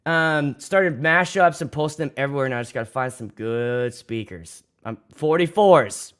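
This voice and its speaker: Young Pittsburgh-accented DJ host, loose and profane, mid-range voice, rambling casual chat. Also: male voice, mid-range voice, moderate pace, fairly bright tone, smooth. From Pittsburgh, USA. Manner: rambling, swears freely, many restarts. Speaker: DJ and livestream host.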